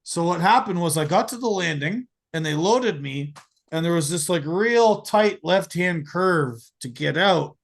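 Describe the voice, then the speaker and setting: An American man in his forties hosting a podcast, telling stories in a casual, animated way, swearing freely and stumbling over repeated words. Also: fairly high pitch, unhurried, vocal fry. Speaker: podcast host. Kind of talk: casual storytelling chat.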